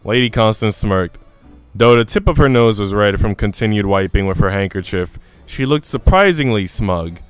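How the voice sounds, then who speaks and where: Animated man streaming, clear voice. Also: fairly low pitch, normal speed, soft background music. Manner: slangy, informal. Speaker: live streamer. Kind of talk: casual gaming stream chat and visual novel narration.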